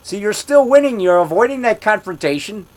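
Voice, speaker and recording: A man in his fifties telling a rambling story in a loud voice, repeating himself and getting worked up as he goes. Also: sits high, animated, a little fast. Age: 50s. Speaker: monster movie maker telling a story. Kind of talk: casual storytelling monologue.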